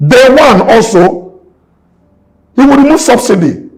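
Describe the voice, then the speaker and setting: A man's voice: very high voice, sing-song, a little slow, breathy edge, low-quality recording. A man in his sixties, a businessman guest in an interview, talking emphatically and repeating his points like a preacher. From Nigeria. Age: 60s. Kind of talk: impassioned interview talk.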